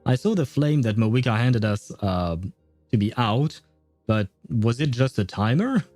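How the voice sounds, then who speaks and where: A man's voice: medium pitch, lively intonation, a little slow, darkish tone, no room sound, soft background music. A man giving casual live commentary as a game streamer, often hesitating as he searches for words. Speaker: game livestreamer.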